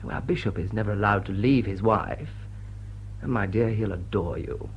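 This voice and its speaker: An actor playing a smitten English aristocrat in a radio play, with an upper-class accent and an urbane, drily witty, tender manner. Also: male voice, fairly low pitch, normal speed, highs cut off, slight background noise, lightly acted. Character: smitten, wry English aristocrat lord wooing a woman. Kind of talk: British radio play acting.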